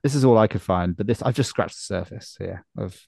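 Guy hosting a soccer podcast, creaky gravelly voice, animated, repeats himself, dry sound. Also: on the low side, a little fast, no echo. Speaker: podcast host. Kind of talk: casual chat about soccer.

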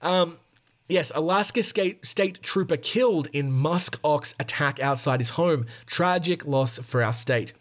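A man's voice: a little high, measured pace. A man hosting a podcast, reading a news article aloud with wry, sarcastic asides and frequent self-corrections. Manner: wry, sarcastic running commentary. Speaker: podcast host.

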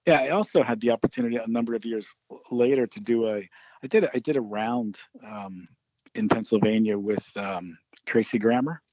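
A man's voice: medium pitch, brisk, a little mellow, no echo, muffled recording. A man, a singer-songwriter guest, chatting casually in an interview, often stammering and restarting. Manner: hesitant, stammers and laughs often.

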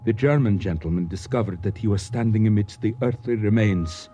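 Man playing a mellow, courtly storyteller. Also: fairly low pitch, a little hiss or hum, close to an everyday voice. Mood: absorbed storytelling. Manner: formal, courteous, florid, deliberate phrasing. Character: courtly Egyptian man, learned, nostalgic storyteller. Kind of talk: radio drama acting. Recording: dull, muffled old radio recording.

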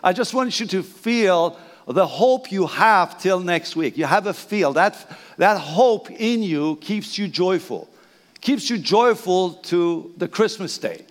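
Older Persian-accented pastor, high voice. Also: male voice, animated. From Iran. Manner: hesitant, halting, repeats phrases. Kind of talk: bilingual sermon. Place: church sanctuary. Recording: reverberant church hall.

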